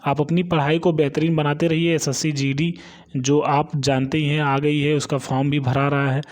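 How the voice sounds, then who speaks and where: A man's voice: fairly high pitch, little pitch movement, moderate pace. A man giving an informal explainer on exam news, often tagging his phrases with a check-in to listeners. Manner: explanatory, repeats a check-in tag at phrase ends. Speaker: host of a job exam update channel.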